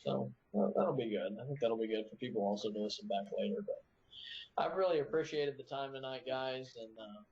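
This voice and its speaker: A man's voice: medium pitch, lively intonation, a little fast, darkish tone, husky. Easygoing male co-host, casual and agreeable, on a somewhat muffled lo-fi connection. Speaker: live stream co-host. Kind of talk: casual chat. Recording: slightly dull lo-fi online audio.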